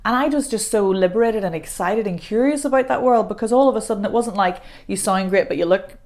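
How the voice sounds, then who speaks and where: A woman, a voice coach chatting as a podcast guest, self-deprecating and quick to laugh. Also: mid-range voice, a little mellow. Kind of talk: casual podcast conversation.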